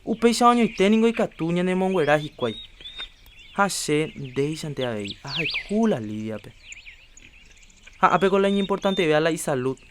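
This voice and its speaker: A man's voice: on the high side, lively intonation, normal speed, slight background noise, natural voice. A man playing an earnest health promoter. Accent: Paraguayan. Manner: earnest, persuasive, lecturing. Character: earnest adult man urging health checkups. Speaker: Rafael, a community health promoter. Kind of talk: educational radio drama acting.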